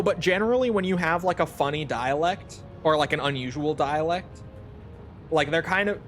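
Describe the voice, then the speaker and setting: Male game streamer, slightly high-pitched, casual and self-deprecating, loose rambling chat over soft background music. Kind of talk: casual livestream chat, replying to viewers.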